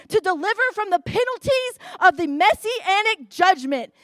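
Southern woman preacher, very high voice, slow emphatic delivery, dry sound. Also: expressive, a bit bright, no room sound. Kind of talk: sermon.

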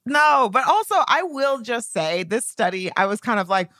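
A woman's voice: on the high side, lively intonation, normal speed, a bit bright, no echo. A woman co-hosting a podcast, chatting informally about news with wry, sarcastic asides. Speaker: podcast co-host. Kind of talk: casual chat about news.

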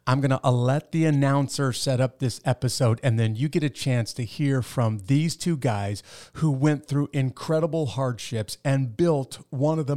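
A man with a medium-pitched voice hosts a podcast, speaking directly and conversationally as he sets up the episode and asks questions. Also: darkish tone. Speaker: podcast host. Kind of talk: podcast hosting and interviewing.

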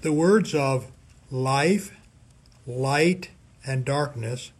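Husky-voiced man reading a measured reflection. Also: mid-range voice. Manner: measured, deliberate, with emphatic pauses and repetition. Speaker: priest giving a scripture reflection. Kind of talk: scripted sermon reflection read aloud.

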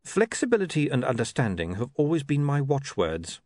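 A man's voice: mid-range voice, big pitch swings, a little mellow, no echo, pitched above the performer's own voice, natural voice. Man as a cool, unruffled city ruler, dry sound. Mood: calm, pointed. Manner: measured, precise, unruffled. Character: calm, coolly ironic male ruler of a fantasy city.

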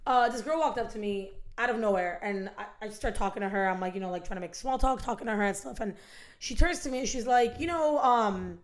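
A young woman hosting a podcast, telling stories in a loud, animated way with frequent bursts of laughter. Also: medium pitch, fairly quick. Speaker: podcast host. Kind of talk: casual chat, storytelling.

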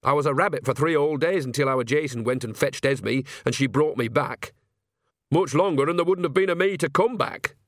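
A man's voice: on the high side, a little fast, no room sound, studio quality, natural voice. A man voicing a shrewd, earthy old village witch woman who talks bluntly in folksy grammar.

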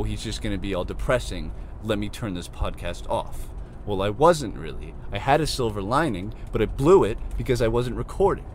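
A man of twenty hosting a casual solo podcast from inside a car, his voice crackly because he is losing it, rambling and swearing in an irritated, self-deprecating way. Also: on the low side. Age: 20s. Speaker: podcast host. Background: faint car and street noise.

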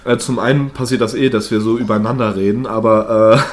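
A man's voice: lowish voice, steady tone, brisk. A man with a cold who hosts the podcast, chatting casually with many fillers and bursts of laughter. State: has a cold, slightly congested. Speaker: podcast host. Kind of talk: casual chat. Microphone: own home microphone setup.

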